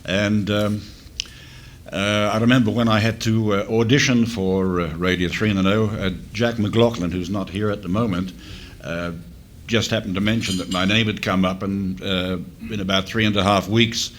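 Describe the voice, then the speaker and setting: A man in his sixties from Australia speaks in a low register, spinning an anecdote with plenty of pauses and fillers. Faint ambient noise sits under his voice, captured at a live panel. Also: fairly even intonation. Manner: halting storyteller, many fillers. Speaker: former offshore radio DJ. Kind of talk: reminiscing panel chat.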